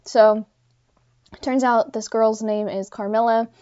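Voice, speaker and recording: A woman's voice: a little high, fairly even intonation, slow, warm tone. A woman hosting a podcast solo and unscripted, thinking aloud and correcting herself in casual talk, with a slightly congested voice. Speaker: podcast host. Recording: dull, lo-fi sound.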